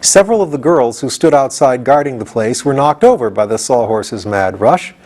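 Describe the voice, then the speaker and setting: Man reading a storybook, mid-pitched. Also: lively intonation, moderate pace, darkish tone. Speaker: audiobook reader and host. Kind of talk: expressive storybook narration.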